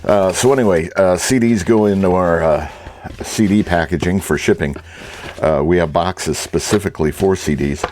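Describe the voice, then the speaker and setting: A man's voice: sits low, moderate pace, darkish tone, a little hiss or hum. A man giving a casual, rambling and easygoing vlog talk as an online reseller.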